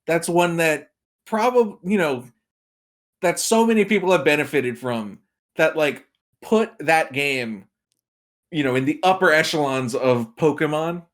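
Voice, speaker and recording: Male podcast guest, fairly high voice, informal and emphatic, with frequent asides. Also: a little slow. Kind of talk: casual gaming podcast discussion.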